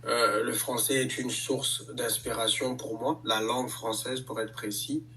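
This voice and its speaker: Mec artiste hip-hop en entrevue, réfléchit en parlant, micro un peu loin. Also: male voice, mid-range voice, steady tone, sharp tone, distant mic, a little hiss or hum. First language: French. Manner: measured, many hesitations. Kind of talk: casual interview answers.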